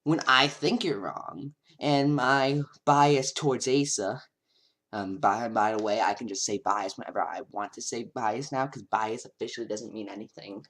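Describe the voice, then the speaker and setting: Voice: mid-range voice, moderate pace, a bit bright. Sarcastic, rambling commentary voice. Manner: mocking, rambling, sarcastic asides.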